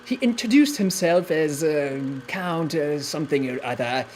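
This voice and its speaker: A woman's voice: low-pitched, expressive, moderate pace, a little mellow, slight background noise. A woman voicing a British army officer recounting a wartime truce in a genteel, dramatic memoir reading. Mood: earnest recollection.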